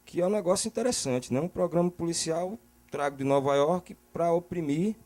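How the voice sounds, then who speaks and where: A man's voice: a little high, normal speed, a little mellow. A man with a Brazilian accent giving casual, opinionated talk as an interview guest, colloquial and often checking he is understood. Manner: colloquial, repeats words, often checks the listener follows.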